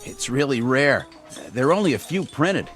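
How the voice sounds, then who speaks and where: A man's voice: medium pitch, sing-song, normal speed, fairly bright tone, faint music behind. An actor playing an eager, clumsy, gullible male coworker who talks in an earnest, folksy, emphatic way in a television drama.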